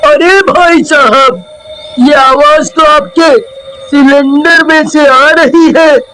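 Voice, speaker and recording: A man's voice: very high voice, steady tone, takes it slowly, bright, forceful tone, faint music behind, heavily acted, poor audio. A man playing an anxious, superstitious village man in a cartoon, with dramatic exclamations. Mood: worried. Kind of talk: cartoon story acting.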